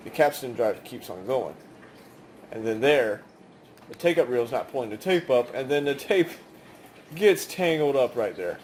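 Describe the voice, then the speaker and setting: A man's voice: medium pitch, expressive, normal speed, a little mellow, slight background noise. A man giving a casual, rambling hands-on demonstration in a conversational way. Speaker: video maker demonstrating cassette tapes.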